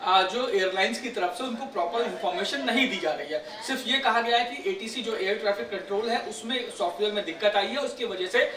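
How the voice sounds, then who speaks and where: A male news reporter giving a live report, halting and repeating himself as he searches for words. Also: high-pitched, crowd noise. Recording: echoey room, distant from mic.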